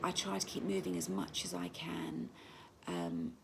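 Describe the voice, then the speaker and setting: Tired middle-aged lady vlogging from home, really gravelly lowish voice, lots of ums. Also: sing-song, noisy background. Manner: slow, halting, many ums and restarts. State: in pain, tired. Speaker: patient sharing her experience. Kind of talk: casual personal vlog.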